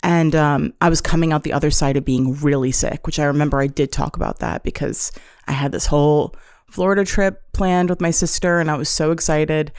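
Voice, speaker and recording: Woman podcast host, casual confessional chat, gravelly fry, dry close sound. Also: sits low, steady tone, a little fast, a little mellow, no room sound. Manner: chatty, self-deprecating, swears casually. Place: apartment room. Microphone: on-camera setup.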